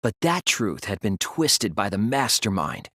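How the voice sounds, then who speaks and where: A man's voice: lowish voice, big pitch swings, a bit bright, husky, dry recording, studio quality, full character voice. A man acting an earnest, sensitive and anxious teenage boy detective in a dramatic video game dub. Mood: grave, deductive. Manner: earnest, measured explaining that turns to strained pleading.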